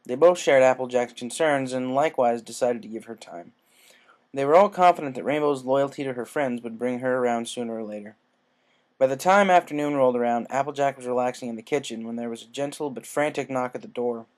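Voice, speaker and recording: A narrator reading scripted fan fiction aloud in an expressive storytelling style. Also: medium pitch, brisk.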